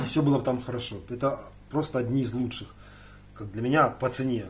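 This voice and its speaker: A man's voice: medium pitch, fairly quick. Casual man rambling over noticeable background noise. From Ukraine. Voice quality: frequent throat-clearing between phrases. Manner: colloquial, slangy, thinking aloud. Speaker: hobby aquarium vlogger. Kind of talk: casual rambling vlog chat. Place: indoors in a room with aquariums.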